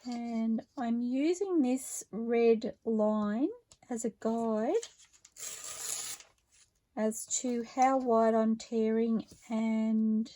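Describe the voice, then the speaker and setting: A woman with a slightly croaky voice, chatting her way through a craft project step by step in an unhurried, friendly tone. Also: fairly high pitch, warm tone. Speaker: craft vlogger. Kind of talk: relaxed craft narration while working.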